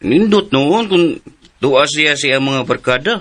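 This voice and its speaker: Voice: medium pitch, animated, moderate pace, fairly bright tone. An actor playing a childlike, insistent son in a radio drama, with a Cebuano Filipino accent and a naive manner. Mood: naive, questioning. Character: childlike, excitable son with a developmental condition. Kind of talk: Philippine radio drama acting. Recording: music behind the voice.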